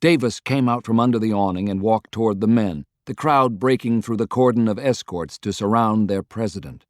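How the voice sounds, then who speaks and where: A man's voice: lowish voice, moderate pace, no room sound, clean studio sound. A man reading a historical novel as scripted, dramatic audiobook narration.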